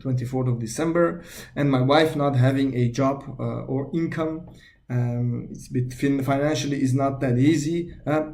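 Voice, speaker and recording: A man's voice: medium pitch, vocal fry, darkish tone, a little hiss or hum. A young man from Belgium with a Belgian Dutch accent, a native Dutch and French speaker talking in English, introducing his sales channel in an earnest, hesitant way with many fillers and restarts. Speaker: YouTube sales channel host.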